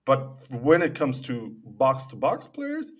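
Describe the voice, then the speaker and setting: A man's voice: mid-range voice, wildly swooping pitch, takes it slowly, recorded from a distance. A man co-hosting a casual sports podcast, speaking bluntly with many hesitations and restarts. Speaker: podcast co-host.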